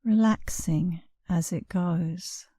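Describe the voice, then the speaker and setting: A woman meditation instructor guiding a relaxation session in a soothing, unhurried way, leaving long pauses between short phrases. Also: fairly low pitch, dark tone, no echo, studio quality. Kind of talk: guided meditation, scripted narration.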